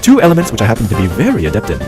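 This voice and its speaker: A man's voice: fairly low pitch, wildly swooping pitch, racing. A man voicing a clever, smug scholar with a pedantic, drily sarcastic manner, in a comedic fan dub. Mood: amused lecturing. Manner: sardonic, pedantic, articulate. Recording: music behind the voice.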